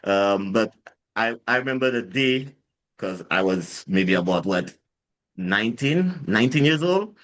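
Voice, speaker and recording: A man's voice: fairly low pitch, a little slow. A man from Saint Lucia with a Saint Lucian accent, a guest on a podcast interview, telling his story casually with many fillers and restarts, livening up as he recounts events. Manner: rambling, many fillers and restarts, animated when retelling. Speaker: guest, drinks brand founder. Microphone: podcast mic.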